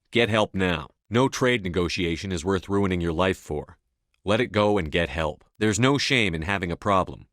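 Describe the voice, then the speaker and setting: A man's voice: lowish voice, a bit bright, dry recording, clean studio sound. A man playing a resolute, blunt military commander in a science fiction video game, speaking firmly and tersely with authority. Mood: stern, admonishing. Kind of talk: scripted game dialogue.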